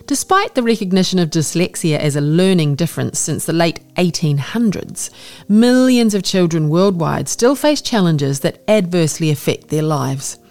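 A woman reading a magazine article aloud in an even, formal, scripted way. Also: lowish voice, moderate pace, faint music behind. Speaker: narrator.

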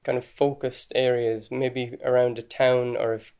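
A man's voice: medium pitch, flat delivery, moderate pace, darkish tone, clear, resonant tone. A man with an Irish accent hosting a podcast, explaining things in a conversational way with casual asides. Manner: conversational, chatty asides with "like" and "right?". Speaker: podcast host.

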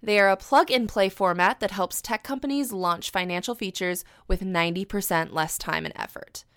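A woman host reading a scripted introduction of a guest speaker in a measured way. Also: mid-range voice, fairly bright tone, clear, resonant tone, no room sound, clean studio sound.

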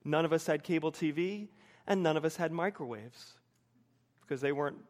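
An American man in his forties preaching a sermon with personal anecdotes, measured, with emphatic pauses and repeated phrases. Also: a little high. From United States, suburban Wilmington. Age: 40s.

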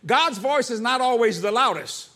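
Bright high-voiced preacher man. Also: lively intonation, moderate pace. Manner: call-and-response preaching, rhetorical questions to the congregation. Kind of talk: sermon. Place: church.

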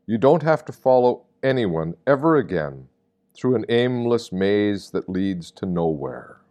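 A man reading a scripted devotional meditation in a measured, solemn and reflective manner. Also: on the low side, big pitch swings, mellow, lo-fi sound. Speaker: reader of meditations.

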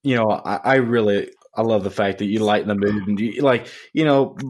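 A man hosting a podcast interview, with a loud, deep, growly voice and a casual, rambling way of talking. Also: fairly quick. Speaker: podcast host. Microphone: studio podcast mic.

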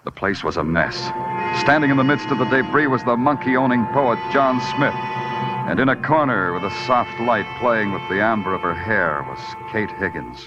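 Actor playing a low-voiced hardboiled radio detective. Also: male voice, lively intonation, moderate pace, lightly acted. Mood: brooding narration. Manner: dry, clipped, sardonic. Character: wry hardboiled male New York police detective. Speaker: lead actor and narrator. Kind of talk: 1940s radio noir acting. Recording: muffled old radio recording with music.

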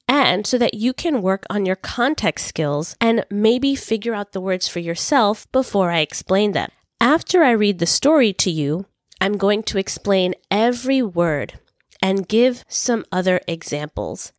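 A woman hosting a podcast English lesson, speaking clearly and patiently while recovering from a cold. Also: mid-range voice, dry recording, studio quality. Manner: clear, patient teaching delivery. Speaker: English teacher and podcast host.

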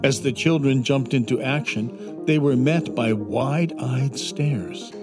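A man narrating a scripted children's adventure story in a measured, dramatic storytelling manner. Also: medium pitch. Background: instrumental music.

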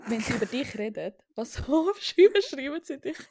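A woman's voice: high-pitched, sing-song, fairly quick, a little mellow, a little hiss or hum. A young woman in her twenties, co-hosting a podcast in a playful casual chat and mixing in English phrases. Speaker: podcast co-host.

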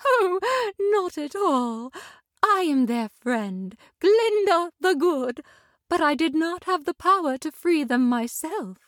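A woman voicing a gentle, graceful good witch who speaks tenderly and calmly in a children's radio story. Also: high-pitched, slow pace, no room sound, studio quality, pitched above the performer's own voice, heavily acted. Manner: tender, calm and lilting.

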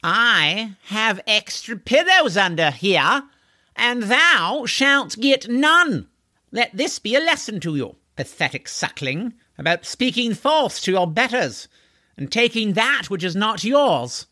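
Man putting on a pompous, sneering aristocratic horseman, drawn out and high. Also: very animated, slow, sharp tone, dry recording, studio quality, exaggerated voice. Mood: sneering, scornful. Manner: pompous, sneering, mock-archaic speech. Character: haughty lanky horseman, pompous spoiled rich man.

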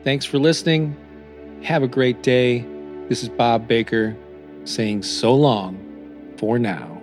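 A man reading scripted motivational affirmations as a podcast host, in a deliberate, encouraging manner. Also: mid-range voice, a little mellow. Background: new-age music.

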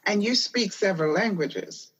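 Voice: mid-range voice, expressive, normal speed, a bit bright, muffled recording, slight background noise. An interviewer asking polite, brief questions.

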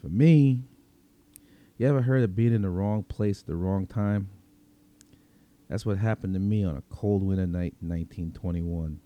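A man's voice: sits low, moderate pace, long pauses, muffled recording, natural voice. A man reading a first-person story as a world-weary, streetwise vigilante vampire, in a conversational, brooding Brooklyn accent. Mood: reminiscing. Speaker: story reader.

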